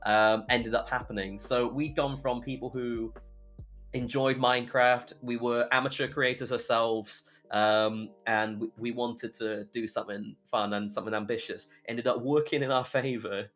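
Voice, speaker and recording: A man's voice: medium pitch, little pitch movement, normal speed, fairly bright tone, faint music behind. A young British man telling stories casually as a podcast guest, chatty and rambling as he goes. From United Kingdom. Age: young adult. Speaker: podcast guest, YouTuber and streamer.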